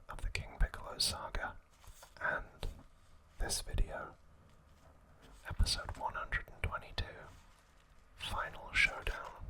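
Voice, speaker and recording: A man hosting ASMR, speaking softly and haltingly in an unhurried whisper, close to the microphone. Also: low-quality recording. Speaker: ASMR channel host. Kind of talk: whispered ASMR, reading episode summaries and chatting to viewers.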